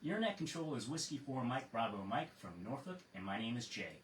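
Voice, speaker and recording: A man's voice: mid-range voice, big pitch swings, brisk. American man running radio net, roomy sound. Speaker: amateur radio net control operator and host. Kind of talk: reading a net script and chatting with check-ins. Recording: roomy, distant mic with faint noise.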